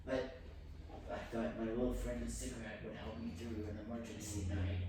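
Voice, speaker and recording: A man's voice: fairly low pitch, flat delivery, moderate pace, background noise. A man chatting casually about personal matters, frank and confiding. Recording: very echoey room, far mic, loud crowd. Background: loud crowd chatter.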